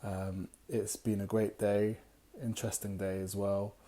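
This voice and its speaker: A tired man with a slightly raspy voice hosts a casual book review, talking unhurriedly with many fillers and reading passages aloud. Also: fairly low pitch, level tone, measured pace, warm tone. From United Kingdom. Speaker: book club host.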